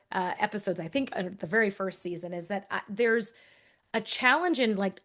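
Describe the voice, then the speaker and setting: A woman's voice: medium pitch, fairly bright tone. Earnest, thoughtful woman podcast co-host. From Texas, USA. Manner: earnest, thoughtful, with restarts and brief laughs. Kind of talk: reflective conversational storytelling.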